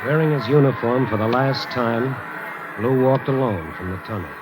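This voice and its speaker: A man narrating a 1940s radio drama in a dramatic, measured way. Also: medium pitch, low-quality recording. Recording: old radio broadcast with music.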